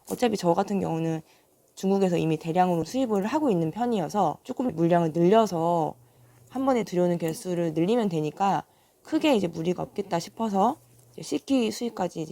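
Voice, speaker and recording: Young woman vlogger, hoarse and gravelly from a sore throat, low mellow voice, calm and sincere. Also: no room sound. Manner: calm, earnest. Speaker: online seller and vlogger. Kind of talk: calm vlog talk to viewers.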